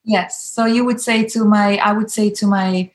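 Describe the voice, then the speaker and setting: A woman's voice: mid-range voice, steady tone, moderate pace, a little hiss or hum. A woman in her thirties from Switzerland with a Swiss German accent, explaining ideas in conversation and sometimes searching for words.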